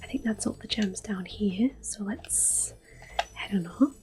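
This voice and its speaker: A woman giving gentle, mostly whispered ASMR commentary as she hosts a video game playthrough. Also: mid-range voice, unhurried. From Europe. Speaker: host of a gameplay series. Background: quiet game music and controller clicks.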